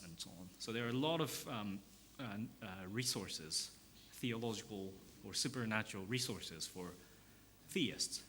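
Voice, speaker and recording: A man, a philosophy professor, giving an academic lecture in a measured, explanatory way with pauses and fillers. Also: fairly low pitch, sharp tone, slight background noise.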